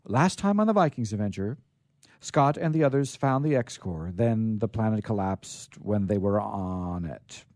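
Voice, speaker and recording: Male radio host, storyteller, lowish pitch, very animated intonation, halting, theatrical, close dry sound. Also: no echo. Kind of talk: informal radio storytelling, reading aloud.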